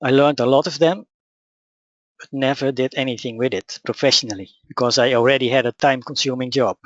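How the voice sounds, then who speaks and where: A man with a Dutch accent, whose first language is Dutch, calmly telling his personal story and teaching in a measured, matter-of-fact way. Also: mid-range voice, no room sound, muffled recording. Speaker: spiritual teacher. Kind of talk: personal account and teaching talk.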